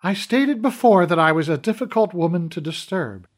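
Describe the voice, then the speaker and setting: A calm, commanding fairy queen who speaks in formal, unhurried sentences, voiced by a man. Her pitch sits high and swings widely through each line. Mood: regal. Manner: stately, formal, measured.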